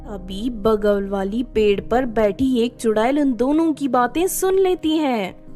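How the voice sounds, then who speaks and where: A woman's voice: fairly high pitch, slow pace, warm tone, faint music behind. A woman narrating a scripted story as a storyteller.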